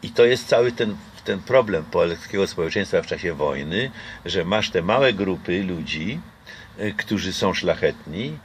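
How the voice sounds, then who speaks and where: A man's voice: deep voice, animated, unhurried, slight background noise. An older man from Poland talking casually in an interview outdoors, reflective and hesitant with many fillers and restarts. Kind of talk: casual conversation. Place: outdoor garden patio. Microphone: camera close by.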